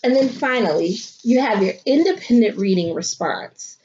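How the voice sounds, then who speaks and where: A woman teacher reading a picture book aloud to children and talking them through it, with expressive, dramatic delivery and drawn-out emphasis. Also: fairly high pitch, muffled recording, a little hiss or hum. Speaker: elementary school teacher.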